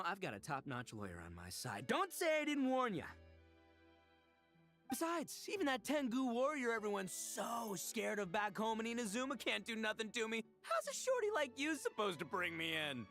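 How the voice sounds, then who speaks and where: A man's voice: sits high, pushed and bright, full character voice. A man playing a cocky, boastful big gang boss in a video game, with brash, slangy swagger. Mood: cocky, taunting. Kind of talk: video game character acting. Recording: game music behind.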